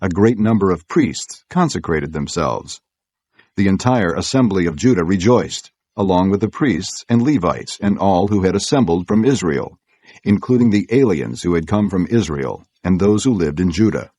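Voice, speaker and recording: A man reading scripture as an audiobook narrator, in a measured, solemn way. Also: low voice, expressive, warm tone, no echo.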